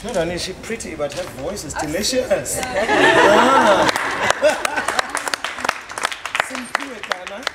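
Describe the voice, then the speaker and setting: Event host, woman, echoey and off-mic in very loud crowd noise. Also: medium pitch, expressive, slow, long pauses, recorded from a distance. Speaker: event host introducing readers. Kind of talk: introductions and call-and-response with children. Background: loud crowd, noise, clicks.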